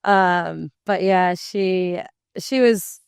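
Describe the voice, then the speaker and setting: A woman's voice: on the low side, very slow, creaky, clear, resonant tone, dry recording, clean studio sound. A young woman in her twenties, a podcast guest talking casually, with a lot of "like" fillers and rambling sentences. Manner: rambling, many fillers, acts out voices in anecdotes. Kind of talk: casual conversational interview.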